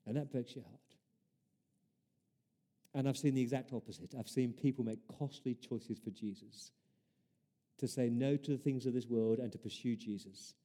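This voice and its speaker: Man, pastor, sermon, very dark mellow tone, earnest, repeated rhetorical questions. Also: mid-range voice, normal speed, pauses often.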